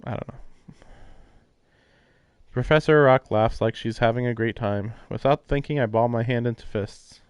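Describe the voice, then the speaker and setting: A man's voice: mid-range voice, moderate pace, pauses often, clean tone, no echo, lo-fi sound. A man doing casual livestream commentary and reading aloud, puzzled and musing as he goes. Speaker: livestreamer playing a visual novel. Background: game music.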